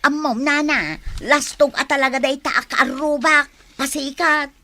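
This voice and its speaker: Envious gossipy neighbour, scornful, high, piercing voice, Ilocano Filipino accent, comic radio drama. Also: female voice, fairly even intonation, measured pace, pushed and bright. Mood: envious griping.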